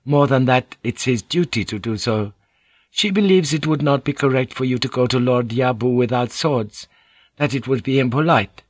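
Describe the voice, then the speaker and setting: A man voicing a polite, composed Japanese woman interpreter in an audiobook story, speaking in a measured, formal and deferential way. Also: mid-range voice, dry recording, voice pushed up, lightly acted. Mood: formal, explaining.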